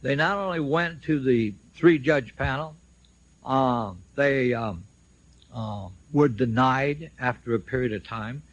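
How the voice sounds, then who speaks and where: Husky older man, slow deliberate speech. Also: medium pitch, no echo. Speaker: speaker at a symposium, recounting his own legal case. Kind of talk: public speech, personal storytelling. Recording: dull lo-fi shortwave radio recording.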